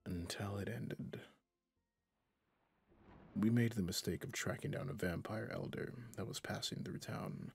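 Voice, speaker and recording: A man playing a stern, haunted, centuries-old vampire hunter in an ASMR roleplay, speaking with slow menace in a breathy, gravelly voice that often drops to a whisper. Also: fairly low pitch, fairly even intonation, faint music behind, full character voice. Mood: grim, regretful. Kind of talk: ASMR roleplay monologue to the listener.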